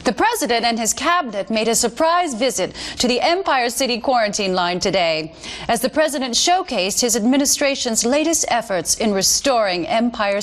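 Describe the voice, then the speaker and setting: An actress plays a female American news anchor from a video game. Her voice sits fairly high, and she delivers scripted bulletins with measured, formal authority. She comes across as an earnest, polished mouthpiece for the government. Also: close to an everyday voice. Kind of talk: scripted news broadcast narration.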